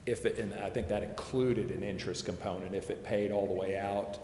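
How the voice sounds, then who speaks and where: A man advising a city committee, explaining figures in a measured way and pausing to recall details. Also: lowish voice, fairly even intonation, dark tone. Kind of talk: explaining figures at a public meeting. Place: city council chamber. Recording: echoey chamber, long reverb, faint hiss.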